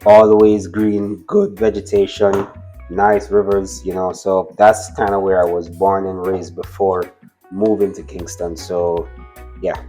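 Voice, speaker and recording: A man's voice: low voice, level tone, unhurried, mellow, soft background music. A Jamaican man from the island's countryside, talking casually through a cooking demonstration and often repeating words as he starts a thought. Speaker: guest cook.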